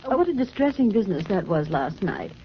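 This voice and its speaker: An actress playing a brisk, polished woman boss in crisp, theatrical 1940s radio style. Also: medium pitch, very animated, natural voice. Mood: brisk, gracious. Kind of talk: 1940s radio drama acting. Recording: dull, noisy 1940s radio recording.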